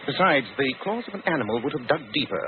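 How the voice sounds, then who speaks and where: A commanding English detective, crisp and teasing. His voice is fairly high and very animated. The sound is that of a narrow old radio broadcast. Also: quick pace. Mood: urgent, analytical. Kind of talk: 1940s radio acting. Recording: tinny, noisy old radio recording.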